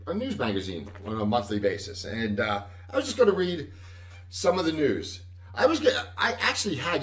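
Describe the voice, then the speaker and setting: A loud-voiced man chats casually as host of a livestream, rambling along and chuckling as he corrects himself. His voice moves up and down in a lively way. He sits back from a webcam mic in an echoey home room. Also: medium pitch, far from the mic.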